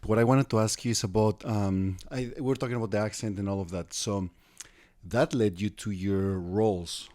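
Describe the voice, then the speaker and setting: A man with a very low, deep voice hosts a casual podcast interview. He talks freely and keeps nodding along with "mm-hmm"s. The recording is dry and close. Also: steady tone, moderate pace, darkish tone, no room sound. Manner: chatty, lots of "mm-hmm" backchannels. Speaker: podcast host.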